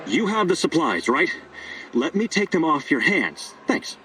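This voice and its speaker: A man's voice: fairly high pitch, very animated, measured pace, pushed and bright, distant mic, a little hiss or hum, exaggerated voice, poor audio. An actor playing a scheming, persuasive male doctor in a video game, earnest and wheedling with hesitant turns. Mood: coaxing. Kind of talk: video game dialogue acting.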